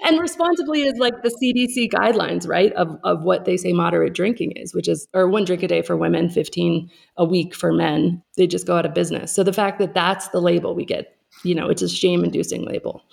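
A woman's voice: fairly low pitch, fairly even intonation, brisk, gravelly, darkish tone. A woman in an impassioned, casual discussion, speaking emphatically and wandering into tangents. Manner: emphatic, opinionated, goes off on tangents.

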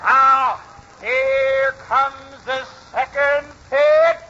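A man playing an excited ballpark announcer in a 1940s radio drama, drawing out the suspense of each pitch. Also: exaggerated voice. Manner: suspenseful, drawn-out calls bursting into shouts. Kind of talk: 1940s radio play-by-play acting. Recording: noisy, muffled 1940s radio recording.

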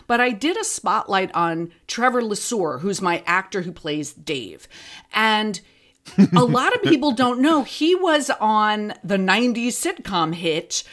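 A woman's voice: mid-range voice, sing-song, unhurried, fairly bright tone. A woman being interviewed as a podcast creator, chatting casually and acting out her own thoughts as she tells stories.

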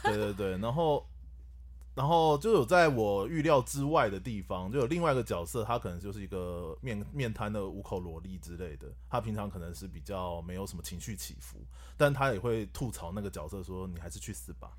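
A man's voice: fairly low pitch, a little fast. A man who works as a voice director, telling stories in a casual podcast chat with a Taiwanese Mandarin accent. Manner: animated, laughs while telling stories.

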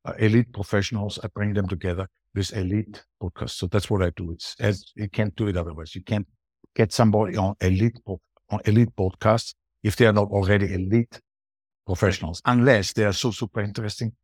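A man's voice: on the low side, steady tone, a little fast, a little mellow, slightly rough, no room sound, clean studio sound. A middle-aged or older man with an Austrian accent and German as his native language, giving emphatic, conversational answers as an interview guest. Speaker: podcast guest, booking strategist.

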